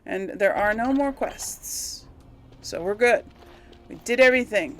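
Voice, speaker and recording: A woman's voice: mid-range voice, lively intonation, unhurried, a bit bright, slight background noise. A woman giving casual, rambling game commentary, absent-minded and thinking aloud as she plays. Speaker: gamer hosting a role-play playthrough series.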